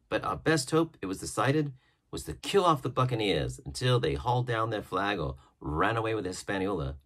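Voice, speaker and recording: A man with a husky voice narrating a classic adventure novel as an expressive storybook reading. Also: fairly low pitch. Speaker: audiobook narrator.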